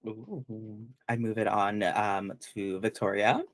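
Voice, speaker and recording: A man's voice: lowish voice, fairly even intonation, takes it slowly, no room sound. A young man from Peru hosting a casual panel chat, rambling with lots of fillers. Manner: chatty, many fillers and restarts. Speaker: panel host.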